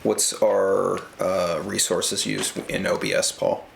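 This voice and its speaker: A man hosting a paintball live stream, talking casually and haltingly as he runs the setup. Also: low voice, flat delivery, vocal fry. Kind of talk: casual chat while running a stream. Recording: loud noise in an echoey room.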